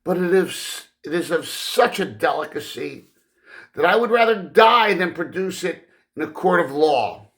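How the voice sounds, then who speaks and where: A male narrator plays a genteel, headstrong Victorian wife in an audiobook novel. The delivery is indignant and formal, with lively swings of pitch and a bright, tense edge. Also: measured pace. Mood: embarrassed, distressed. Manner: formal, emphatic speech.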